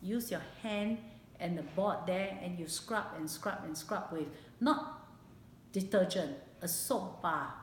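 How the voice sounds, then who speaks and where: A woman in her late fifties with a Singaporean accent recalls her life in a personal interview, speaking haltingly and repeating phrases for emphasis. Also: fairly low pitch. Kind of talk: personal oral history interview. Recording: echoey room, background hiss.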